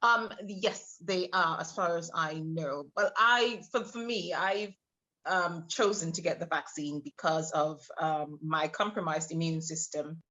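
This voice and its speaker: A woman in her thirties from Trinidad, a podcast guest telling her personal story with many hesitant pauses. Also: low voice, pushed and bright. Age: 30s. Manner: hesitant, many filler pauses, laughs between thoughts. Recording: muffled lo-fi audio.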